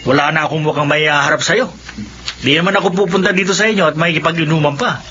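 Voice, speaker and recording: An uneasy woodcutter who is secretly an aswang, in a Filipino radio horror play. His voice is highish and sharp, with colloquial speech. The recording is lo-fi with noise behind it. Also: fast talker. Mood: wary, probing. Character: uneasy male aswang woodcutter, loyal drinking companion.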